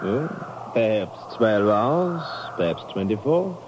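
Careful, deferential alpine guide, lively intonation, noisy period radio play. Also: male voice, fairly low pitch, slow pace. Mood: cautious. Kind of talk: 1950s radio drama acting. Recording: noisy 1950s radio recording.

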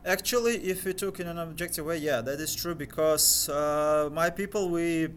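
A young man whose first language is Crimean Tatar, a guest talking casually in an interview in English and pausing often to search for words. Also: a little high, a little hiss or hum. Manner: hesitant, filler-heavy, searching for words.

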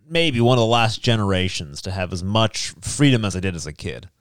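A man's voice: fairly low pitch, expressive, fairly quick, dry recording, clean studio sound. A man chatting casually and reminiscing in a rambling way, with "like" sprinkled throughout. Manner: rambling, peppered with "like". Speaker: talk show panelist.

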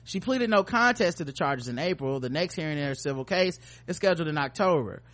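Higher-voiced man hosting a comedy podcast. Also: lively intonation, fast, a bit bright. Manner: informal, profane, joking. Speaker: podcast host. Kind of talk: casual comedic banter.

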